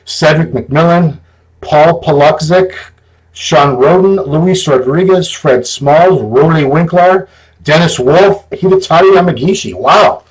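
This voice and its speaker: Man, podcast host, slightly high voice, relaxed and joking. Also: takes it slowly, low-quality recording. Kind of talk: casual podcast chat.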